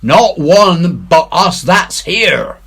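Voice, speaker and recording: A man playing a bold, shrewd pirate ringleader in a storybook reading, with salty, emphatic, drawn-out speech. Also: on the high side, animated, gravelly, a bit bright, slightly rough, voice pushed up, heavily acted. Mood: defiant.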